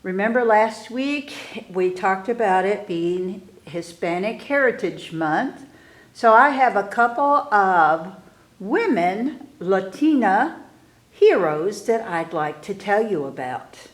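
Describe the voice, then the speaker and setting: A woman hosting a library story time, reading aloud to children with deliberate phrasing. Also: medium pitch, lively intonation. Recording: roomy, echoey indoor sound.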